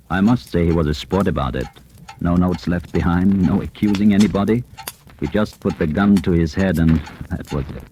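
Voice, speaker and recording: A man's voice: deep voice, background noise, close to an everyday voice. A man playing a scheming con man who poses as a psychoanalyst, narrating coolly in an old radio drama. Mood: cold. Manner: smooth, calculating, coolly confiding narration. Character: scheming male con man posing as analyst. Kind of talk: 1940s radio drama acting. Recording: old radio broadcast with faint music.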